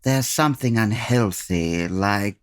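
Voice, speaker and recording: A man playing a formal, earnest gentleman detective in an old-time radio comedy drama, with old-fashioned exclamations. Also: fairly low pitch, takes it slowly, clean tone, no echo, studio quality. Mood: uneasy.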